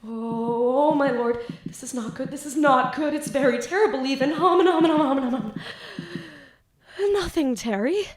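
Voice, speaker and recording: A woman's voice: high voice, a little slow. Melodramatic young student, played by a woman, roomy echo. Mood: flustered, nervous. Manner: grandiose theatrical diction. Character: flustered lovestruck student, melodramatic and bookish. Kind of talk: student audio drama acting with soliloquies.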